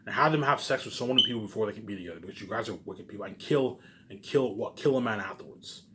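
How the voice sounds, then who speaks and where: A man's voice: lowish voice, fast talker, heavy background noise. A man giving an informal, rambling monologue to viewers, agitated and emphatic, swearing and repeating himself, often trailing off at the ends of phrases.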